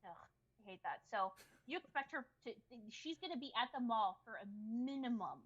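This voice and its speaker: A woman informally reading chat messages out loud and tossing in her own asides. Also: on the high side, lively intonation, brisk, lo-fi sound. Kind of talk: informal read-aloud of a chat log with asides.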